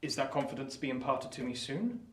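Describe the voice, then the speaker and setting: An earnest young gentleman played by a man, formal and polite, in a middling pitch. The sound has noticeable room echo. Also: animated, fast talker, lightly acted. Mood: polite, hesitant. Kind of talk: audio drama acting.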